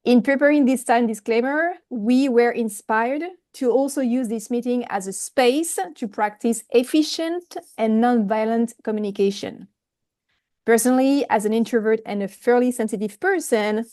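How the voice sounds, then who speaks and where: A woman with a fairly high voice and a strong French accent speaks on an online call. She is careful with her English and now and then halts over a word. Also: warm tone. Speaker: volunteer timekeeper at a group meeting. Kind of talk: reading a prepared announcement aloud.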